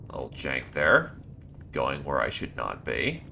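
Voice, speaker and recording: A man streaming a horror game live, reading on-screen text aloud and breaking into loud, excited outbursts. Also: rumbling low, slow pace, vocal fry, slight background noise. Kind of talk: live gameplay commentary.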